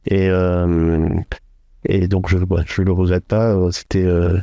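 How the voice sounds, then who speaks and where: A middle-aged man from northern France answering interview questions, thoughtful and hesitant, with frequent filler pauses. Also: deep voice, level tone, mellow, clear, resonant tone, dry recording. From France, Hauts-de-France. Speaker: podcast guest, entrepreneur. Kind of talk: interview conversation.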